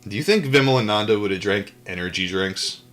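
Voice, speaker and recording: A man's voice: on the low side, fairly quick, a bit bright, faint music behind. A man hosting a live read-aloud, moving between reading a book and casual, irreverent, rambling asides. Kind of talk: audiobook-style reading with casual off-the-cuff asides.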